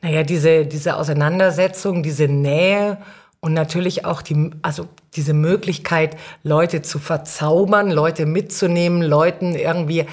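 A woman's voice: sits low, steady tone, unhurried, a little mellow. A woman speaking as a podcast guest in casual, reflective conversation.

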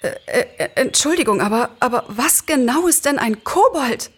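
Casting: a woman playing a nervous, curious storybook girl, raised pitch, stammering delivery. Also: animated, moderate pace, slightly rough. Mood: flustered, curious. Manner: stammering, breathless questioning. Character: flustered, curious young girl Emma.

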